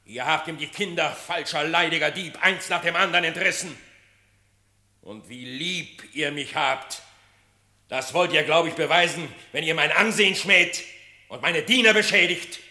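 A man's voice: a little high, moderate pace, sharp tone. An actor playing a stern, angry animal king in a radio play, declaiming accusations in commanding verse. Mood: wrathful. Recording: roomy echo.

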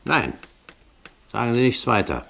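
Gentleman pirate captain, radio play: haughty, shrewd, precise, mid-pitched, coolly commanding. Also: very animated, measured pace, gravelly, heavily acted. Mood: persuasive, lofty. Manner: lofty, precise, condescending, rhetorical. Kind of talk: German radio play acting, 1970s.

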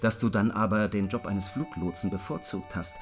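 A man's voice: on the low side, moderate pace, over music, close to an everyday voice. An actor in a German radio drama playing an insistent, needling man who presses his points in a commanding, emphatic way.

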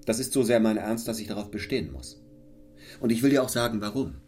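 A stern young clergyman, acted by a man in a literary radio drama. His voice sits fairly low and he speaks with cold, solemn control, pressing his will on the listener like an order. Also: quick pace, a little mellow, faint music behind, lightly acted. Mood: cool, commanding. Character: St. John Rivers, stern, cold, domineering young clergyman missionary. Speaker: actor in a radio play.

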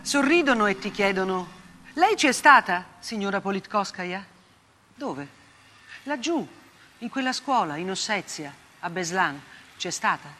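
An older actress playing a haunted, bitterly ironic woman journalist in a theatrical radio monologue, speaking deliberately and emphatically. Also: medium pitch, expressive, pushed and bright, a little hiss or hum. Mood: biting, mocking. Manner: emphatic, deliberate delivery with rhetorical repetition. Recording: music bed behind the voice. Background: plucked guitar-like music.

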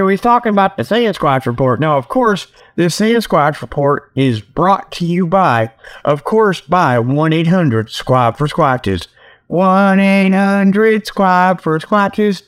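A man's voice: sits high, slow pace, clear, resonant tone. A man hosting a comedic show in a folksy, joking, easily sidetracked monologue, talking into a tablet while sitting outdoors. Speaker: host of a comedic report show. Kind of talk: rambling casual humorous monologue. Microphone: tablet's built-in mic, resting on leg.

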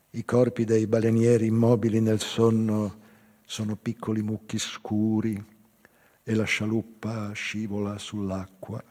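Slow, husky man reading in a reverberant hall. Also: on the low side. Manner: slow, measured, theatrical delivery with swelling and hushed phrases. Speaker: actor reading a literary text. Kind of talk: dramatic literary narration with live music. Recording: long hall reverb, faint music. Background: live music.

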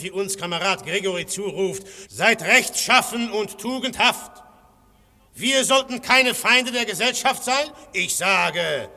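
An actor playing a young undercover detective who poses as a fierce anarchist and gives a cool, cutting, mocking speech in a radio play. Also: male voice, high voice, bright, forceful tone, long reverb tail, full character voice.

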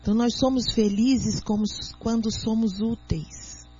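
Husky-voiced Brazilian woman, teacherly. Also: fairly high pitch, fairly even intonation, a little mellow. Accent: Brazilian Portuguese. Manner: earnest, teacherly, reads aloud slowly. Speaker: guest speaker, doctor. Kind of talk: explanatory radio talk. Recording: muffled lo-fi radio audio.

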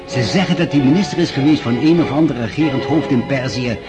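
A man's voice: medium pitch, fast talker, natural voice. A man acting a gallant, gossipy society dandy in a Dutch radio drama, effusive, flowery and affected. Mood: gossipy, relishing. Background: music.

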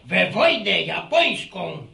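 Radio drama casting: mischievous rustic old man, slow, very high and sharp, roomy sound. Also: big pitch swings, gravelly, far from the mic, heavily acted. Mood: mocking, boastful. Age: older. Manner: stammering repetitions, folksy sayings. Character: garrulous, mischievous old village grandfather. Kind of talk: Polish radio drama acting.

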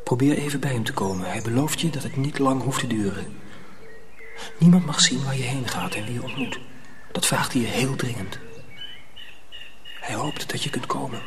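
A man acting in a radio drama as an earnest, troubled young mill apprentice, urgent and often hushed. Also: mid-range voice, normal speed, long pauses, a loud crowd, lightly acted. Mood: hushed, pleading.

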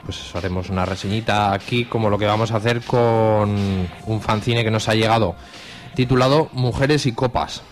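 A man with an accent from Spain, hosting a radio show in a casual, unscripted, colloquial way. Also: lowish voice. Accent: Spanish from Spain. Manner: informal, colloquial. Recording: music playing behind the voice. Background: background music.

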